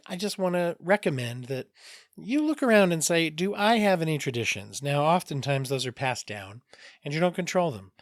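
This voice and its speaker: A man hosting a casual solo mental health podcast, his voice a bit raspy, rambling with jokey asides and self-corrections. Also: fairly high pitch, no echo, studio quality. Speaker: podcast host, therapist.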